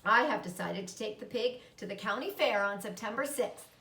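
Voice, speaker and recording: A woman's voice: medium pitch, expressive, moderate pace, pushed and bright. A woman voicing a proud, bossy male farmer in a storybook, giving emphatic orders. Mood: commanding. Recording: roomy echo, distant mic.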